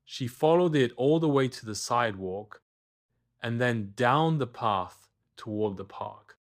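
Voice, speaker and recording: A man narrating a scripted children's bedtime story, expressive and deliberate, with dramatic pauses. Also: mid-range voice.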